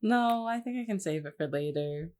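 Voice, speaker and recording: A woman's voice: mid-range voice, expressive, normal speed, a little mellow, a little hiss or hum. A woman talking casually as a podcast guest, with a playful, teasing way of speaking.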